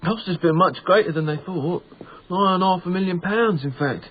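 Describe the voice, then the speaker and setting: A man's voice: high voice, normal speed, close to an everyday voice. Man acting a meek Victorian night watchman, tinny sound. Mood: respectful, hesitant. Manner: formal, deferential, hesitant. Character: frightened, pious Victorian night watchman, deferential working man. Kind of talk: radio drama acting. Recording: tinny band-limited radio broadcast, noisy background.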